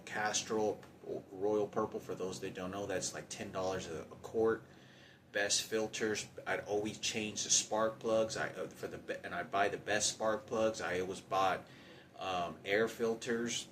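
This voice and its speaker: A man giving an unscripted personal monologue about his own hardships, halting and often circling back to repeat himself. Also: on the low side, fairly even intonation, fairly bright tone, slight background noise. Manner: halting, repetitive, circling back over points. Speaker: storyteller recounting personal hardship.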